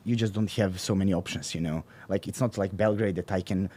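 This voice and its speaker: Serbian-accented man, halting and deep. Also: steady tone, warm tone, breathy edge. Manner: halting, searching for words, many fillers and restarts. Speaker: guest, professional bodybuilder. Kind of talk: casual interview talk.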